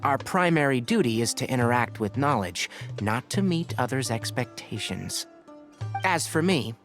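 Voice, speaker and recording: A level-headed young male scholar acted by a man from an anime-style game. He speaks frankly and articulately, with lively pitch movement and a gently chiding manner. Music is clearly audible behind him. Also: natural voice. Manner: articulate, matter-of-fact, advising.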